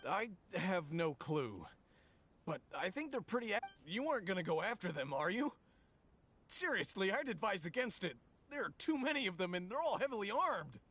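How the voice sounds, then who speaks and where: A man's voice: high voice, big pitch swings, moderate pace, a bit bright, breathy edge, exaggerated voice. An actor playing a timid, shaken male merchant in a video game, anxious and hesitant. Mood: worried.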